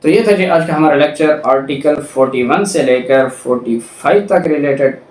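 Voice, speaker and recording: A man's voice: on the high side, moderate pace, darkish tone. Male Pakistani lecturer, explanatory and didactic, English terms mixed in; recorded away from the mic in an echoey room. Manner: didactic, alternating between Urdu explanation and English legal terms. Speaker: law lecturer. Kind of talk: explanatory lecture on legal articles. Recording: echoey room, distant microphone.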